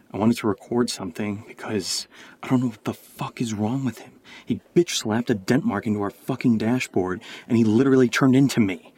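Husky, wary housemate Steve. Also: male voice, on the low side, darkish tone, dry recording. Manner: hesitant, sardonic, profane. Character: wary, exasperated, sarcastic male housemate Steve. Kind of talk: naturalistic audio drama dialogue.

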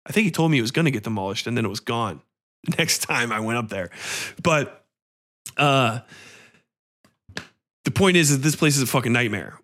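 An American man with a medium-pitched voice talks as a podcast co-host. He rambles casually through his retelling, swearing freely and leaning hard on words for emphasis. The style is loose, conversational film discussion. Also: lively intonation, moderate pace, pauses often, fairly bright tone. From United States. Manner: rambling, emphatic, acts out quoted dialogue. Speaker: podcast co-host reviewing films.

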